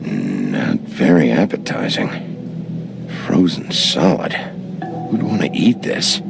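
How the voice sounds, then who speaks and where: A man playing a gruff, terse detective sheriff in a video game, blunt and dry, with a low gravelly voice. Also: big pitch swings, slow, exaggerated voice. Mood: dry, pondering. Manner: blunt, dry, muttering to himself. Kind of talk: cinematic video game acting. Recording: game audio with music behind.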